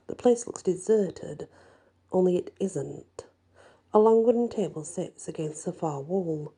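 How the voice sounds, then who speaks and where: A woman narrating a first-person fantasy story in an expressive, deliberate storytelling style. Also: fairly low pitch, slightly rough. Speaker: story reader.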